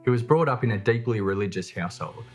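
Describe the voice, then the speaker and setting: A man reading scripted true crime documentary narration in a measured, matter-of-fact way. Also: medium pitch, animated, faint music behind.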